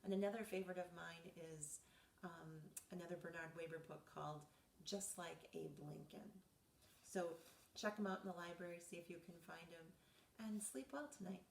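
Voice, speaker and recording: A woman reading a children's bedtime story aloud in an expressive, dramatizing way. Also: lowish voice, moderate pace, creaky, slight background noise. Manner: animated, dramatizing dialogue for children. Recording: roomy echo, distant mic.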